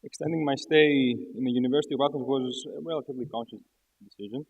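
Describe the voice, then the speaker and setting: A man's voice: medium pitch, steady tone, fast talker, slightly rough. A young man delivers a formal, prepared student speech. The sound is thin and phone-like, with long hall reverb. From Greece. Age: 20s. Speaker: student speaker.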